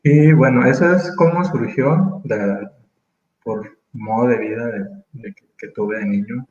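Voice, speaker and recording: A man's voice: mid-range voice, unhurried, darkish tone, lo-fi sound. Hesitant man on a roomy call. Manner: thoughtful, hesitant, many fillers and restarts. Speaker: interview guest. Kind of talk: casual conversational interview.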